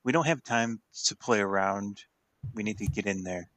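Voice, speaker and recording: A man's voice: fairly low pitch, moderate pace, a bit bright, dry recording. A man playing a tabletop role-playing game, talking casually as he describes what his character does.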